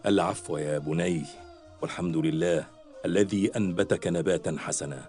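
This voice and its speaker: A man acts a pious, proud father in a children's cartoon. His voice is deep, with a warm, reassuring and formal delivery over faint music. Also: normal speed, lightly acted. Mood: proud, kindly. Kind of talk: cartoon dialogue in formal Arabic.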